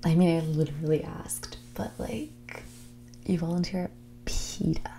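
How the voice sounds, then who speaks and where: Whispery young rich girl, acted by a woman, snobbish and condescending, slow drawl, creaky fry, ASMR roleplay. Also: low voice, warm tone. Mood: condescending, mocking. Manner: snobbish, condescending, drawling, filler-laden valley-girl phrasing. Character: spoiled, haughty rich young heiress on a date.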